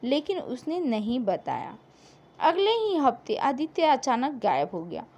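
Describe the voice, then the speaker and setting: A woman narrating a scripted story in a dramatic, emotive way with deliberate pauses. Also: sits high, sing-song, darkish tone.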